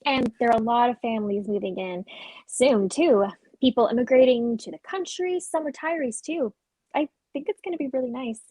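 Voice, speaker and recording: A woman playing an earnest, gentle, conscientious character in a dramatic play reading. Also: on the high side, normal speed, no room sound, natural voice, rough recording. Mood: hopeful.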